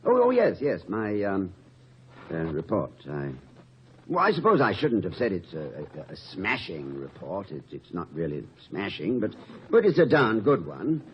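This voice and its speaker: An actor playing an anxious, modest college president in a 1950 radio comedy, stammering and courtly. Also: male voice, low voice, wildly swooping pitch, normal speed. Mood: sheepish, hesitant. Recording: muffled, noisy old radio recording.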